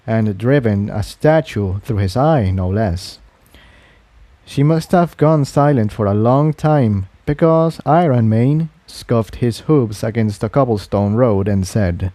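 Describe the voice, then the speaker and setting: Male audiobook narrator for fantasy fiction, relaxed warm tone, very dry sound. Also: mid-range voice, dry recording.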